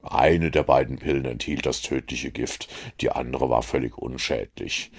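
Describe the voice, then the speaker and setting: A man reading as a calm, lecturing detective. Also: a bass voice, creaky, slightly rough, heavily acted. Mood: explaining. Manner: measured, lecturing explanations. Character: calm, confident male detective, middle-aged.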